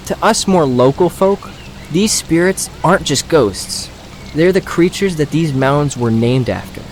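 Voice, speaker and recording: A man's voice: mid-range voice, slight background noise, lightly acted. An actor playing a teasing young local man who shows visitors around in an audio drama, playful and showman-like.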